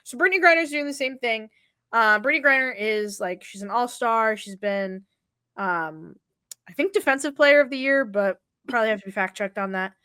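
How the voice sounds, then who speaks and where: Slangy young woman, bright dry voice. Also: on the high side, moderate pace, clear, resonant tone, dry recording. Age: 20s. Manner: slangy, swears casually, many fillers. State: wheezy. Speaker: podcast co-host. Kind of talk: casual sports chat.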